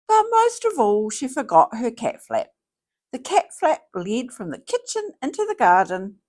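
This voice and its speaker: Slow, very animated woman reading a children's story. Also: a little high. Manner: slow, theatrical, deliberate phrasing. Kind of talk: expressive scripted storybook read-aloud.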